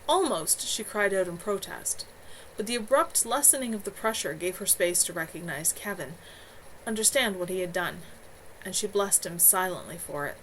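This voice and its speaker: A woman's voice: mid-range voice, expressive, normal speed, a bit bright, slight background noise, poor audio. Woman reading her novel aloud. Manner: earnest, a few stumbles. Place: at home.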